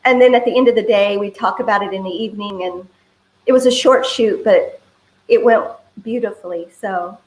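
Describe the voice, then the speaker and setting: Female interview guest, echoey room, mid-pitched voice, chatty, meandering phrasing. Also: moderate pace, darkish tone. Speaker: film writer-director, interview guest. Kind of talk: casual interview conversation. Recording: roomy, echoing voice.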